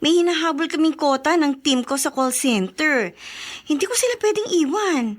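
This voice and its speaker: Busy Filipino younger sister, high animated voice, breezy, radio drama. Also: female voice, moderate pace. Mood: breezy, apologetic.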